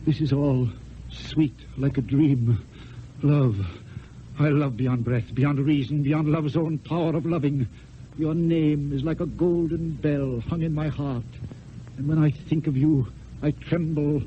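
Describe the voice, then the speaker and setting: An actor playing a passionate, eloquent poet wooing in secret, in lyrical theatrical declamation with dramatic pauses. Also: male voice, a little high, a little hiss or hum. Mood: ardent, rapturous. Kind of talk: mid-century theatrical classical stage acting. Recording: dull old radio recording with music.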